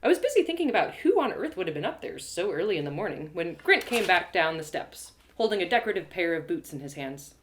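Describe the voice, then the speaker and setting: Woman reading a fantasy audio story, expressive narrator, lots of movement in her voice. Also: on the low side, quick pace, few pauses. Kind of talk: dramatic first-person fantasy storytelling, scripted narration.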